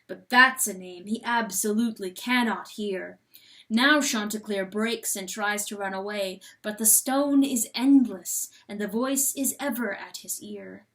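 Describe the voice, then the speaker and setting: A woman giving a dramatic, intense and deliberate storybook narration. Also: mid-range voice, a bit bright, poor audio. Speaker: audiobook narrator.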